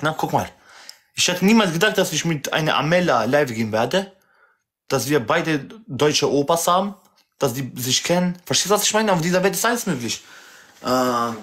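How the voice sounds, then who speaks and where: A man's voice: fairly high pitch, big pitch swings, normal speed, pauses often, a bit bright, breathy edge. A twenty-three-year-old man hosting a live stream, chatty and teasing, turning aside to talk to his viewers. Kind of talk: casual live stream chat.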